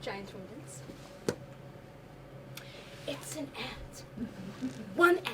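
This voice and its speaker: Young woman acting an exasperated, high-voiced housewife. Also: lively intonation, extremely slow, exaggerated voice. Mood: sarcastic, exasperated. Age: teenager or young adult student. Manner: indignant, sarcastic, incredulous. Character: exasperated, put-upon young housewife standing up for herself. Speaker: student stage actor. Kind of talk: live one-act stage play. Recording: distant, noisy stage recording.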